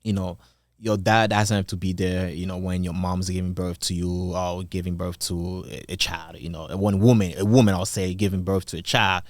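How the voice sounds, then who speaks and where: Young Congolese man, low voice, casual rambling vlog talk, dry. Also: little pitch movement, normal speed, no echo. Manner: rambling, many fillers and restarts. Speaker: channel host. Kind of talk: casual opinion monologue.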